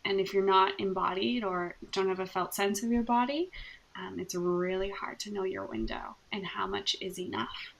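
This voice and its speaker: A soft-spoken woman therapist from Canada, speaking thoughtfully in a podcast interview and laughing often. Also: medium pitch, expressive, normal speed, slight background noise, low-quality recording. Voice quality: soft voice with gravelly fry.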